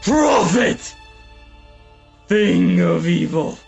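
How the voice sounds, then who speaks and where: A man's voice: high-pitched, lively intonation, very slow, creaky, slightly rough, heavily acted. An actor playing an anguished, grieving man who declaims gothic verse at a raven in a theatrical, impassioned manner. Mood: desperate. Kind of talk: dramatic poetry reading. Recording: slightly dull lo-fi sound, music behind.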